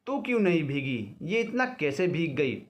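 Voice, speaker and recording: A man's voice: on the high side, sing-song, moderate pace. A man voicing a stern middle-aged mother who questions sharply in a family story. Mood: suspicious. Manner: interrogating.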